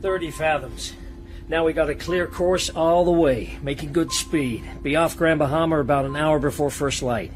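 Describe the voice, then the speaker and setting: A man's voice: fairly high pitch, moderate pace, faint music behind, close to an everyday voice. An American man playing a folksy, easygoing submarine captain in a radio drama, with an informal, wry drawl.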